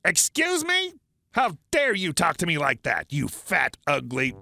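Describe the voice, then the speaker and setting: A man's voice: a little high, huge pitch swings, a little slow, vocal fry, sharp tone, soft background music, exaggerated voice. A man voicing a rude, entitled middle-aged woman shopper who screeches demands and insults. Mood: shrieking.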